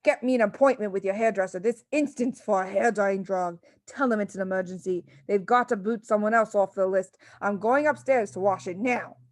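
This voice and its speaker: A woman voicing a vain, quick-tempered, blustering father in a storybook, shouting and wailing. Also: mid-range voice, no room sound, close to an everyday voice. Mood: urgent, bossy.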